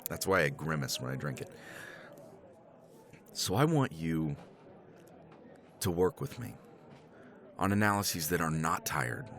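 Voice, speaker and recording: A man's voice: low-pitched, fairly bright tone. A man playing a professor in a romance ASMR roleplay, teasing and self-deprecating, swearing casually and laughing often.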